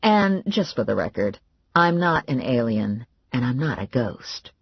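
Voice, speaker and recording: A woman voicing an easygoing, teasing man in an audiobook, wry and unhurried. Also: a bass voice, big pitch swings, husky, dry recording, dull audio, heavily acted. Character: grown man, calm and teasing.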